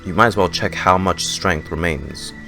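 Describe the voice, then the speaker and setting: A man's voice: sits low, normal speed. A man streaming a game, reacting casually and reading some of the dialogue aloud. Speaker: gaming streamer. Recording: game music clearly behind the voice. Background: video game music.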